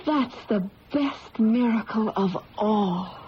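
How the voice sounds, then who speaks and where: A woman's voice: fairly high pitch, takes it slowly. An actress playing a troubled married woman who believes herself cursed, in an intense, dramatic radio-drama style. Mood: relieved, tender. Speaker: actress in a radio mystery play. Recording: noisy, muffled old radio recording.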